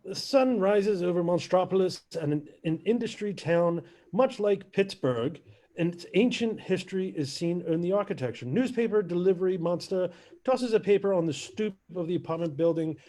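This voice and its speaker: Guy with a high voice reading script directions, plain and a bit halting. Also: warm tone. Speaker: narrator reading stage directions. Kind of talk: scripted narration at table read.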